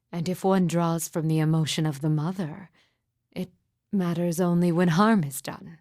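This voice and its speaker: A woman playing a grieving, mystical midwife in a fantasy game, speaking in a solemn, formal, measured way with deliberate pauses. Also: deep voice, a little mellow, no room sound, clean studio sound. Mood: earnest, solemn. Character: grieving mother, solemn sorrowful mystic midwife with haunted calm. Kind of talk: scripted fantasy game dialogue.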